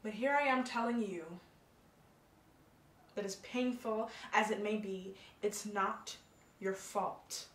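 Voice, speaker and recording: An actress playing a blunt, profane but caring young college friend. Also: female voice, medium pitch, fairly even intonation, slow pace, long pauses, fairly bright tone, echoey room, a little hiss or hum. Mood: earnest. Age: young adult.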